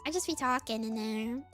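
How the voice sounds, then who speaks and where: A woman's voice: a little high, measured pace, a bit bright, soft background music. A woman streamer chatting casually in a rambling, giggly way, full of fillers. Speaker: VTuber streamer.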